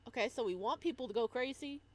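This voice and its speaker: Woman, game streamer, higher-pitched voice, casual, chatty, reading text aloud. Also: fast talker, darkish tone.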